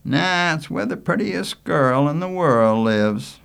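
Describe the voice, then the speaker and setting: A man's voice: fairly high pitch, animated, slow pace, vocal fry, fairly bright tone, smooth, pitched above the performer's own voice, full character voice. A man voicing an easygoing, teasing young coastal fellow with colloquial, folksy speech in a storybook reading. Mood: casual.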